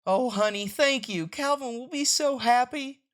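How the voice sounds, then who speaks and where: A woman's voice: on the high side, a little slow, pitched above the performer's own voice, natural voice. A woman voicing a practical, caring, mildly exasperated mother in a motherly, matter-of-fact manner for a comic strip read aloud. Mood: relieved.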